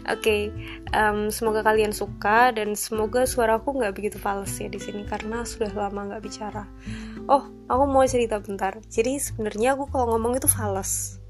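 A woman in her twenties with a light Javanese accent, hosting her own podcast in a chatty, self-deprecating way and dropping in English phrases, her intonation a little flat. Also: medium pitch, moderate pace. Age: 20s. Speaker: podcast host. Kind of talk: casual solo podcast monologue. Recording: music playing under the voice.

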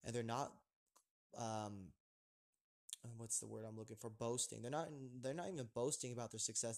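A man's voice: mid-range voice, a little mellow. A young man hosting a casual podcast chat, rambling with lots of fillers and restarts. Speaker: podcast host.

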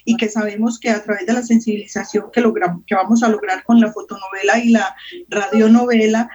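A woman with a Colombian accent and a husky voice, co-hosting an unscripted interview. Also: medium pitch, steady tone, a little fast, a crowd in the background, low-quality recording. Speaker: co-host.

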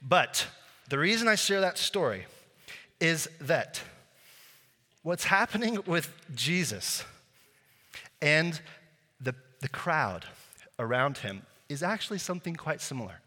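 A man's voice: fairly high pitch, big pitch swings, slow pace, long pauses, sharp tone. A male pastor preaching a sermon, telling a story in a casual way with many fillers and restarts. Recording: long hall reverb.

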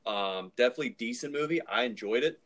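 A man's voice: mid-range voice, animated, fast, fairly bright tone. A man hosting a casual movie discussion in a rambling, hedging way. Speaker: podcast host.

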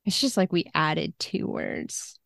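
A woman chatting casually as an interview guest, telling things in an animated way and acting out quoted thoughts. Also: fairly low pitch, slow pace, vocal fry, no echo, clean studio sound. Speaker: podcast guest, book translator.

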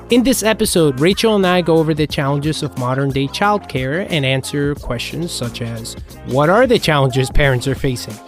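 A man hosting an interview podcast, reading his intro and statistics in a measured, scripted way. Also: a little high. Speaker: podcast host.

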